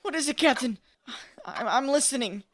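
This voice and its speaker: Woman as a high-voiced earnest young male hero. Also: animated, normal speed. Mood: grieving. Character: earnest young male superhero, frantic and guilt-stricken. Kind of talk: dramatic comic dub acting.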